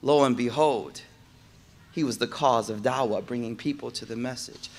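A man giving a Friday sermon, moving from calm storytelling to loud, emphatic preaching and repeating phrases for emphasis. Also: medium pitch, normal speed. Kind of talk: impassioned sermon. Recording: echoey hall reverb.

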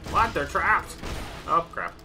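A man's voice: huge pitch swings, slow. Joking young man over game music. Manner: joking, jumps into high mock voices. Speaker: gamer. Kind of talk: casual co-op gameplay chat.

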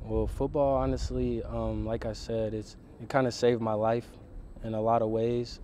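A man's voice: mid-range voice, little pitch movement, normal speed, a little hiss or hum. A twenty-year-old man, a college football player, talking reflectively in a documentary interview outdoors. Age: young adult. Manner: soft, reflective, casual filler words.

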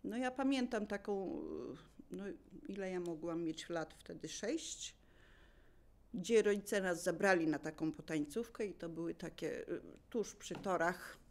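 A woman's voice: fairly low pitch, measured pace. Older woman reminiscing casually. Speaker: local resident sharing memories.

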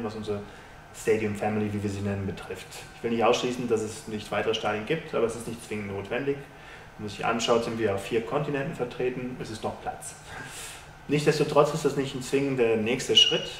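A man in his thirties, a sponsorship executive, giving measured businesslike interview answers with frequent hesitations, in a stadium lounge. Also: fairly low pitch. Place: stadium lounge with glass walls, indoors. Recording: roomy, distant mic, faint hiss.

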